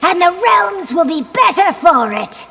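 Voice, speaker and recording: High, gravelly, fierce empress. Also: female voice, sing-song, unhurried, full character voice. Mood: furious. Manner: snarling, vehement. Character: fierce, hot-headed young warrior empress. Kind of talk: video game character dialogue. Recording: long reverb, music behind.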